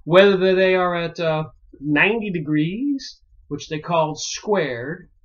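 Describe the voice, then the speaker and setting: A man from Pennsylvania musing aloud in a rambling solo monologue, pausing often and filling gaps with "uh". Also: sits high, animated. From Pennsylvania, United States. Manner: slow and musing, many pauses and fillers. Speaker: video commentator. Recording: dull lo-fi recording.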